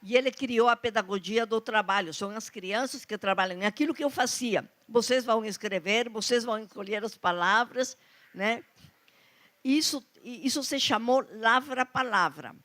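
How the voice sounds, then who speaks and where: A woman's voice: on the high side, normal speed. A woman with a strong foreign accent speaking Portuguese, telling anecdotes on stage at a literary panel and acting out the dialogue she quotes. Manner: anecdotal, animated quoting of dialogue. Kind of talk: storytelling at a literary talk. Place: festival stage before an audience.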